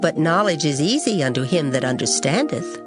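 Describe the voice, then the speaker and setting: A woman giving a solemn, measured dramatized reading of scripture. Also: low-pitched, animated. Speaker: scripture reader. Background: music.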